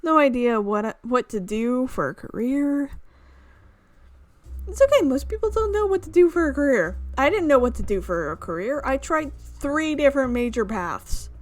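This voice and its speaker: A woman's voice: high voice, lively intonation, normal speed, dry recording. A woman reading a visual novel aloud as a let's player, with her own asides. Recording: music behind the voice. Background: game music.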